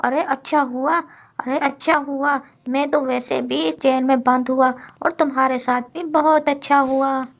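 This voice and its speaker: A woman's voice: high voice, fairly even intonation, a little slow, pitched above the performer's own voice. A woman voicing a greedy, impatient male crow henchman in a children's cartoon, with an eager, nagging manner. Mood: bitter.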